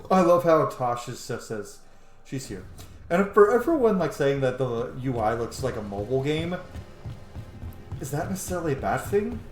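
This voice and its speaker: A man's voice: medium pitch, a little mellow. A man doing casual let's play commentary, informal and sweary, thinking aloud as he plays. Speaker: gaming video host. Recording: echoey room with faint background noise.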